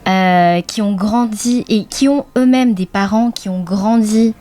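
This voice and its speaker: A woman from France hosting a casual interview, chatty and relaxed, asking long winding questions. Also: medium pitch, a little slow. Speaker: interviewer, host.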